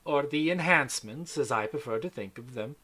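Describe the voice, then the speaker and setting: A man voicing a brisk, bossy female medic in a tabletop game, giving matter-of-fact instructions. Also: mid-range voice, expressive, a crowd in the background, natural voice. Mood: clinical, reassuring.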